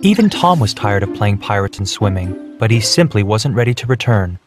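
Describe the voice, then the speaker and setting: A man's voice: on the low side, sing-song, moderate pace. A man reading scripted narration for a children's storybook, with music behind him. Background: background music.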